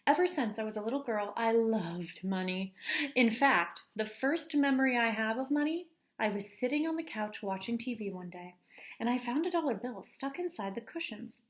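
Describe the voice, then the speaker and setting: A woman's voice: fairly high pitch, animated, moderate pace, natural voice. A woman voicing an eager, money-loving eleven-year-old girl who narrates a storybook earnestly and with dramatic emphasis. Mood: earnest, wistful. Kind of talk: storybook first-person narration.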